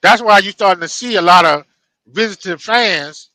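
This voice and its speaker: Sharp, high-pitched blunt man. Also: normal speed, no echo, poor audio. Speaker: sports podcast panelist. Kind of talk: casual, salty sports chat.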